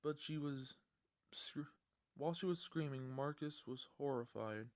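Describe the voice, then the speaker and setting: A man with a mid-pitched voice narrates a fan-written story. His reading is amateur, and he tends to trip over words and go back to read them again. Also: measured pace. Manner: stumbling over words, often rereading. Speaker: fan fiction reader.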